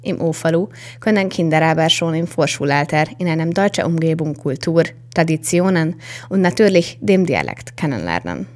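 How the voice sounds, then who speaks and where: A young woman reporter reading a scripted television news voice-over in an even manner. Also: low-pitched, normal speed. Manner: even, read-out delivery.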